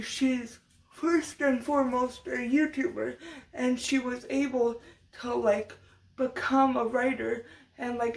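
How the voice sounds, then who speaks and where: A young woman with a high voice, speaking slowly and haltingly. Her voice is fading from allergies and her nose is stuffed up. She keeps restarting words as she chats to camera in a loose, unscripted vlog. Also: gravelly, rough recording. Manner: halting, many restarts and stutters. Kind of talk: unscripted casual commentary vlog.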